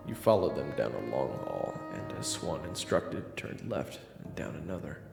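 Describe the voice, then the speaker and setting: A man's voice: very deep voice, sing-song, moderate pace, vocal fry. A man narrating a scripted story in the second person, in a reverberant recording. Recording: reverberant, echoey voice over music.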